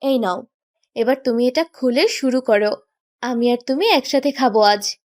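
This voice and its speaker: A woman's voice: fairly high pitch, measured pace, darkish tone, lightly acted. A woman playing a petulant, stubborn young bride in a romantic audio story, with a sulky, reproachful way of talking. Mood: petulant, insistent.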